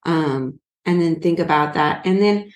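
A woman's voice: low voice, measured pace, roomy sound. A woman co-hosting a relationship podcast, talking earnestly in a chatty way with many fillers. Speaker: podcast co-host. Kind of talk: casual couple chat.

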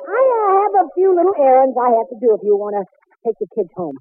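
A man's voice: very high voice, very animated, quick pace, no room sound. An actor playing a bumbling, flustered American husband and father, hesitant and stammering, in an old-time radio sitcom. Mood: harried. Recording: tinny, band-limited old radio recording.

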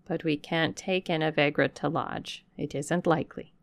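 A woman voicing a blunt, suspicious old female servant who speaks in a broad with a gruff, distrustful manner. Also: low-pitched, fairly even intonation, moderate pace, dry recording. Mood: suspicious, stern. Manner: gruff, distrustful, plain-spoken.

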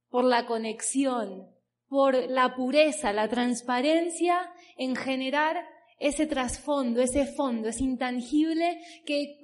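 A woman in her thirties with an Argentine accent from the Buenos Aires area, telling her personal story as a motivational speaker at a business event, emphatic and stacking long repeating lists. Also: high voice. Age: 30s. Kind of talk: personal story told from a stage. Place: stage before a live audience.

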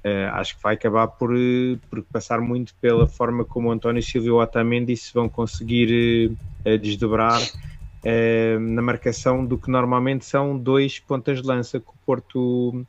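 A man with a European Portuguese accent giving a measured, hesitant analysis on a casual panel. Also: mid-range voice, level tone, no room sound. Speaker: football pundit. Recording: dull lo-fi dry sound.

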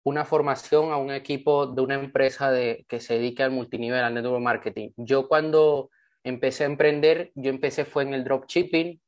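Earnest young man on a video call. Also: mid-range voice. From Venezuela. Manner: earnest, enthusiastic storytelling. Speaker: motivational trainer. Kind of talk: online training talk.

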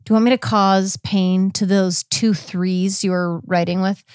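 A woman hosting a podcast in casual chat, recounting a case informally with a halting, filler-heavy delivery. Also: mid-range voice, steady tone, darkish tone, smooth, no echo, clean studio sound. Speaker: podcast host.

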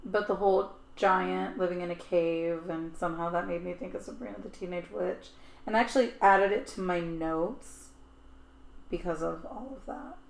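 A woman's voice: on the low side, moderate pace, vocal fry. Chatty woman in an echoey room. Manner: chatty storytelling, frequent "like". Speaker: podcast co-host. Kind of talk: casual chat.

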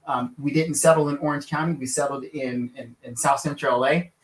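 A man's voice: on the high side, fairly even intonation, fairly quick. Midwestern man telling his story, echoey room. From Kansas, USA. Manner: rambling, many fillers and restarts. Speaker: author and lawyer, guest. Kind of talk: casual interview storytelling.

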